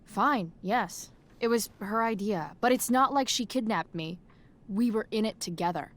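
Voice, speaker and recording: A woman's voice: mid-range voice, normal speed, natural voice. A woman playing a rebellious teenage schoolgirl in a video game, with a blunt, slangy, sarcastic way of talking. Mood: grudging. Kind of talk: naturalistic video game acting.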